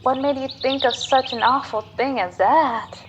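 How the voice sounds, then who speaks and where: A woman's voice: sits high, moderate pace, highs cut off, background noise, close to an everyday voice. A young woman playing a minister's trusting daughter in an amateur radio drama, earnest and plain-spoken. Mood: trusting, innocent. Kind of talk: student radio drama acting.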